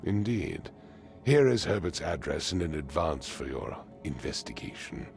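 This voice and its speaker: A man playing a haughty, commanding patrician in a video game, with formal, pompous and deliberate phrasing. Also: a bass voice, very animated, darkish tone, soft background music, exaggerated voice. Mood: guarded, haughty. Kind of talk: video game cutscene acting.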